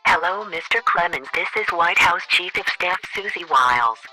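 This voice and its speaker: Bright, deadpan government official played by a man. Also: sits high, normal speed, full character voice, poor audio. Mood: formal, deadpan. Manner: formal, deadpan, scripted officialese. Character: officious government chief of staff leaving bureaucratic orders. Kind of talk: satirical radio theater voicemail monologue.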